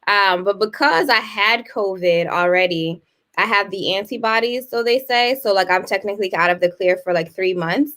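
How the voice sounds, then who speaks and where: A woman in her thirties co-hosting a casual chat show, telling stories animatedly and jumping up high when she exclaims. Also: moderate pace, fairly bright tone. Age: 30s. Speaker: co-host.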